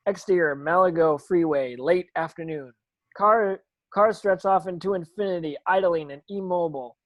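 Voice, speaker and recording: A woman's voice: fairly low pitch, no room sound, rough recording. A woman reading script stage directions as narration, with occasional stumbles over the words.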